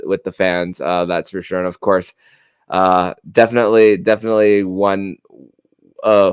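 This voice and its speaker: Halting, low-voiced man hosting a podcast. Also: smooth, no room sound, clean studio sound. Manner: halting, stammering with repeated words. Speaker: podcast host. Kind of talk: conversational sports podcast interview.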